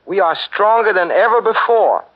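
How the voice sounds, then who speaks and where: An actor playing a determined male resistance leader in a 1940s radio drama, speaking in a solemn, deliberate way. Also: high-pitched, lively intonation. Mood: resolute. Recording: dull 1940s radio broadcast.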